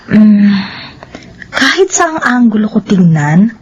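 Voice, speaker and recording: Radio drama confidante: wry young Filipina, lively intonation, skeptical teasing, muffled audio. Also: female voice, mid-range voice, slow, slightly rough, exaggerated voice. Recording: dull, lo-fi audio, noisy background.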